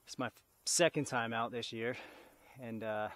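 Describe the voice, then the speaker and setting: A man chatting casually and haltingly to a camera while out mountain biking on a wooded trail, in a laid-back way. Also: medium pitch, fairly even intonation, fairly bright tone, no room sound. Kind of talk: casual vlog chat while riding. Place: outdoors on a wooded bike trail. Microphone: action camera worn or mounted while riding. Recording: wind and outdoor ride noise.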